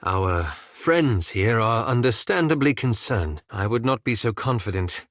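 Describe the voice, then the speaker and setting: Fastidious, wryly expressive wizard. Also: male voice, medium pitch, no echo, studio quality. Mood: wry, cautious. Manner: formal, wry, understated phrasing. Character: reserved, fastidious, dryly sarcastic male wizard of scholarly temper. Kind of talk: scripted video game character dialogue.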